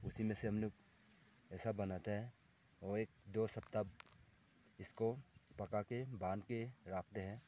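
A man's voice: on the low side, steady tone, warm tone, a little hiss or hum. A young man casually explaining his cooking to viewers, speaking into a close phone camera outdoors on a forested hillside. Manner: plain, unhurried explaining, addresses viewers directly. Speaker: cooking vlogger. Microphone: phone camera held close.

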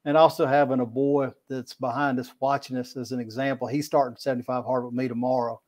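A man's voice: medium pitch, level tone, fast talker, a little mellow. A middle-aged man, talking in a rambling, folksy way as a guest on a video call, often repeating words. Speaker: podcast guest, kayak angler. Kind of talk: casual video-call interview. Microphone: video call webcam mic.

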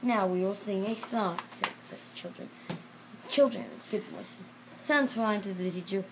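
Little kid reading a picture book out loud, slow and stumbly, lots of background noise. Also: mid-range voice. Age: child. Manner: halting, repeats and corrects words. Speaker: reader. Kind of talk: reading a children's storybook aloud.

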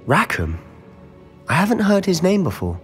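A man's voice: high-pitched, wildly swooping pitch, moderate pace, fairly bright tone, exaggerated voice. A young man acting as a determined, hot-headed teenage schoolboy wizard in a video game, intense and articulate. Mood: earnest, brooding. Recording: music behind the voice.

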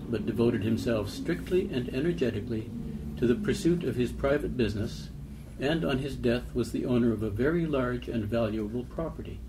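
A man's voice: mid-range voice, background noise. A man giving a scripted reading of documentary poetry and old records.

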